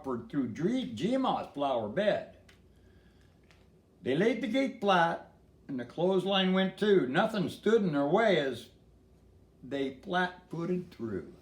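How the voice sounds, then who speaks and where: A man's voice: a little high, echoey room. An older man reading a storybook in verse in a folksy way, with casual asides to a listener.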